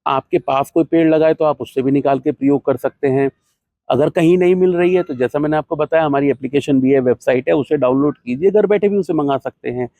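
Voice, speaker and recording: A man presenting an ayurvedic herbal remedy, explaining benefits and uses step by step in a steady, instructive way, with English terms mixed in. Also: a little high, brisk, no room sound. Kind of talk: explanatory health talk to camera.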